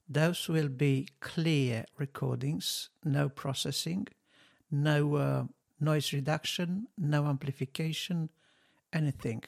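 Slow, gravelly-voiced man on a podcast mic. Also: mid-range voice. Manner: slow, halting, many fillers, non-native phrasing. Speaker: podcast host testing microphones. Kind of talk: casual spoken talk. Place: home studio desk. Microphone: dynamic studio microphone, close.

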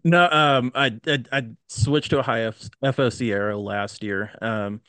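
A man's voice: mid-range voice, moderate pace, a bit bright, smooth, no echo. A man in his late twenties, a guest on a podcast, talking casually in a laid-back way. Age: 20s.

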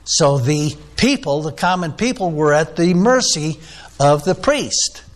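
A man's voice: fairly high pitch, lively intonation, a little slow. A man preaching a sermon, teaching off the cuff with many "uh"s and questions to the listeners. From United States. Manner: unscripted teaching with frequent fillers, asks the listeners questions. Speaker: pastor.

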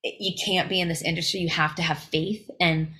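A woman's voice: on the low side, steady tone, a little fast, sharp tone. A woman singer as a podcast guest, talking in emphatic bursts full of fillers and restarts. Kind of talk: casual interview chat.

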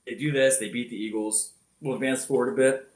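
A man telling football highlights in a casual podcast chat, energetic and loud-voiced. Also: fairly quick, reverberant. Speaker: podcast co-host. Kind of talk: casual sports recap.

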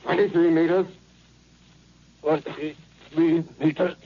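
Curt 1930s radio artillery officer, noisy. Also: male voice, on the high side, flat delivery, slow, dull audio. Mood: urgent. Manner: curt, barked commands. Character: commanding army artillery officer barking firing orders. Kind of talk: 1930s American radio drama acting.

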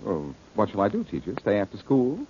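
An unflappable wisecracking sleuth on a muffled old radio show. His husky, lowish voice lilts up and down freely, glib and mocking. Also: big pitch swings, brisk, warm tone. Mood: mocking, breezy. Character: flippant, wisecracking, unflappable male amateur detective. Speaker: lead actor in a detective radio drama. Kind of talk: old-time radio detective acting.

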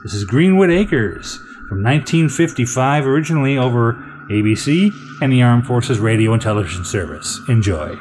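A man's voice: mid-range voice, lively intonation, normal speed, hardly any pauses, very noisy. A man with an American accent casually hosting an old-time radio show.

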